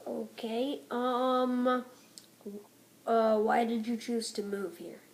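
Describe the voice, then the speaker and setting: A woman's voice: on the high side, steady tone, slow pace, creaky. A female interviewer reading out prepared questions in a plain, hesitant way. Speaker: interviewer for a school project.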